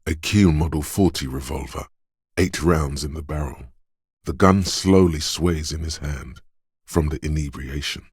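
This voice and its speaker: Very deep, husky game narrator. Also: male voice, no echo, clean studio sound, heavily acted. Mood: dry, grave. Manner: measured, deliberate, dramatic delivery. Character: solemn inner voice of physical instinct and marksmanship. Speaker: video game voice actor. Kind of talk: scripted game narration, inner voice.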